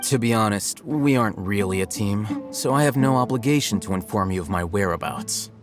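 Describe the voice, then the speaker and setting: A man voicing a calm, aloof young male scholar in a video game, measured and dry. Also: fairly low pitch, close to an everyday voice. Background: music.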